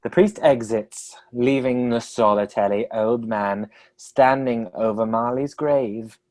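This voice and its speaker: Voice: mid-range voice, takes it slowly, darkish tone. A narrator of a comic radio play, telling the story with a dry, wry manner.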